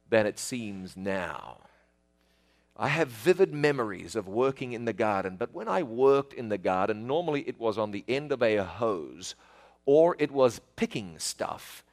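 A man's voice: lowish voice, vocal fry. A middle-aged man with a New Zealand accent, telling childhood stories in a folksy, chatty way and speaking straight to the listener. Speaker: storyteller. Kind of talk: casual spoken reminiscence.

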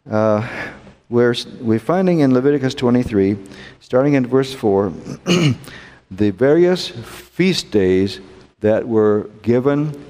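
An American man teaching a Bible study class, unhurried, posing questions to his listeners and often clearing his throat. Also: medium pitch, animated, warm tone. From United States. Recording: long hall reverb.

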